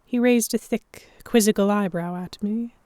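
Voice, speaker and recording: A guarded, anxious young noblewoman, played by a woman, tells her own story in an audio drama. Her narration is literary and measured, at a medium pitch, recorded very dry. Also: mellow, clean tone, no echo, close to an everyday voice. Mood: uneasy. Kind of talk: first-person dramatic narration, historical romance audio drama.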